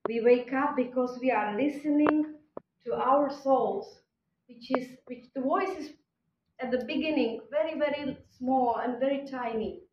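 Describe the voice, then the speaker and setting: A woman whose first language is Czech speaks slowly, in short halting phrases with pauses. Her voice sits a little high. She is recorded from a distance in an echoey conference hall, with a dull sound and faint room noise behind her. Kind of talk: spiritual talk at a conference.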